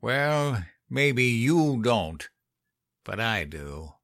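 A man voicing a gruff, cynical old country man with a folksy drawl, telling of hard times in a horror story. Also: medium pitch, big pitch swings, very slow, fairly bright tone, no echo, studio quality, voice pushed up, heavily acted. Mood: somber, firm. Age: older. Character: cynical old country man recounting grim Depression-era hardship. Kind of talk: dramatized horror story narration.

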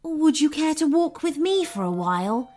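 A woman's voice: high-pitched, sing-song, moderate pace, a little mellow, soft background music, pitched above the performer's own voice. A woman voicing a proud unicorn with a courteous, gracious manner in a storybook tale.

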